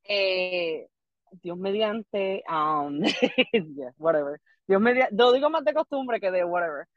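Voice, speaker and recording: A woman's voice: mid-range voice, lively intonation, takes it slowly, no room sound, lo-fi sound. A young adult woman with a Puerto Rican accent, a comedian guest chatting casually in rambling Spanglish with lots of restarts. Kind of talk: casual podcast chat.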